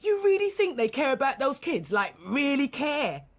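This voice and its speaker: A woman's voice: high-pitched, big pitch swings, moderate pace. An actress playing an angry, grieving mother under police questioning, defiant and colloquial, in a naturalistic radio drama. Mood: defiant, ranting.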